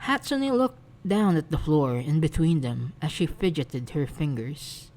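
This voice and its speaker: A woman reading romantic fan fiction aloud as narrator, in a soft scripted storytelling style. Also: low voice, animated, unhurried, poor audio.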